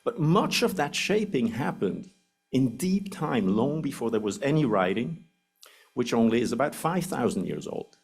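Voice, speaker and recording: A man's voice: mid-range voice, big pitch swings, moderate pace, vocal fry, slightly rough. A middle-aged man with a Swiss accent, English not his first language, giving a public lecture in a joking, explanatory way. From Switzerland.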